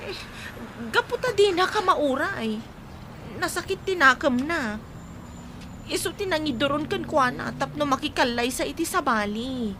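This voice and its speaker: A woman's voice: sits high, animated, moderate pace, pauses often, background noise. An actress playing a chatty, pushy young village woman with an Ilocano accent in a Filipino radio comedy-drama. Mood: gossipy, earnest.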